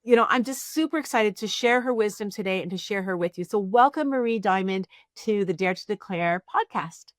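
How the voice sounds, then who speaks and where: A woman's voice: mid-range voice, brisk, hardly any pauses, no room sound, studio quality. A woman hosting a podcast, moving between scripted introduction and interview questions. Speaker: podcast host.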